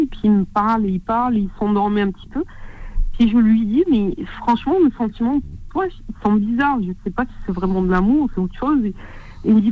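A woman in her late thirties, calling in to a radio show and talking earnestly about a relationship, pausing to search for words. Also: medium pitch. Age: 30s. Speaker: caller. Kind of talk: radio call-in confiding. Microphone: phone. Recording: tinny phone line.

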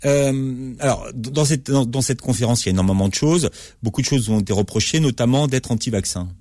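A man's voice: medium pitch, fairly quick, a little mellow, no echo, clean studio sound. A man hosting an interview, asking probing questions that he sometimes builds up hesitantly. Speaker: interviewer, book club host.

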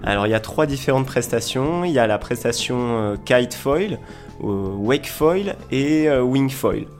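A man from southern France giving an informal interview as a sports instructor, explaining with enthusiasm and frequent pauses. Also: medium pitch, lively intonation, soft background music. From Montpellier, southern France. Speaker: foil school owner and instructor, interview guest.

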